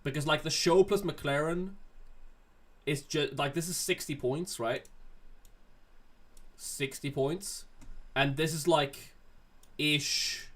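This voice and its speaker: A man's voice: a little high, slow pace, long pauses, fairly bright tone. Casual man hosting a livestream. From Sweden. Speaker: livestream host answering chat questions. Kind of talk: casual livestream chat, reading and answering viewer questions.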